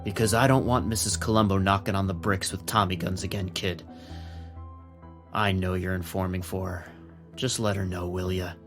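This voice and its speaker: A low, gruff police captain, acted by a man, shrewd and streetwise. He talks plainly and with command, in a noir audio drama over faint music. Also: level tone, moderate pace, lightly acted. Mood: confiding, knowing.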